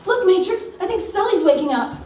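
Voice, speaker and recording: Woman as high-voiced, animated feisty sidekick. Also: a little fast. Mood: helpful curiosity. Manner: exasperated, animated. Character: feisty exasperated woman, action hero's reluctant sidekick. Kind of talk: live comedic radio play acting. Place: rehearsal hall live performance. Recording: echoey distant hall with background noise.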